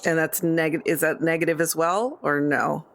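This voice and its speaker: A woman's voice: low-pitched, steady tone, normal speed. A woman playing a tabletop roleplaying game, talking casually about what her character will do.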